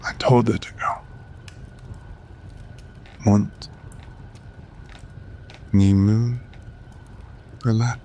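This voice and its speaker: A man playing a centuries-old, guilt-ridden vampire in a whispered audio drama, speaking in a soft, measured way. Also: lowish voice, level tone. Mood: rueful. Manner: soft, breathy, measured delivery.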